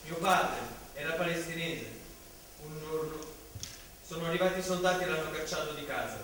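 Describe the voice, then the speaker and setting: A man's voice: a little high, normal speed, fairly bright tone, natural voice. A man playing a defiant witness against oppression, reciting in a solemn theatrical style. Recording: very echoey room, distant, faint noise.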